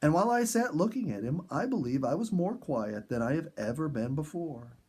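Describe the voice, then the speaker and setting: Male narrator as gentle young girl, storybook character, curious, sincere, soft delivery, lightly husky. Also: fairly high pitch, expressive, a little fast. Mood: thoughtful, wondering.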